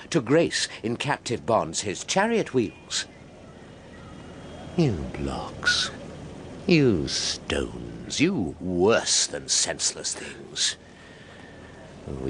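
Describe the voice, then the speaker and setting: A man's voice: on the low side, creaky, a bit bright, slight background noise, full character voice. An actor playing an indignant male Roman official who scolds a crowd, declaiming and dropping to a whisper. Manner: declamatory, scornful, drops to whispers. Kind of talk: radio drama acting.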